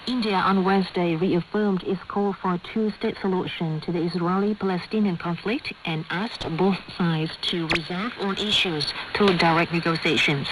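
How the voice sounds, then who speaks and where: A woman's voice: fairly low pitch, steady tone, moderate pace, few pauses. A woman reading formal scripted news as a radio newsreader, heard over shortwave radio. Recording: noisy, hissy shortwave radio broadcast.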